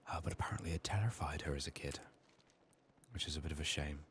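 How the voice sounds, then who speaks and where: A soft-spoken man murmuring through an ASMR game playthrough, often dropping into whispers, his voice a little strained from being sick. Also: very deep voice, fast talker. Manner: murmured thinking aloud. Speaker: ASMR gameplay streamer. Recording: faint noise, keyboard typing and clicks. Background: keyboard typing, clicking, faint noise.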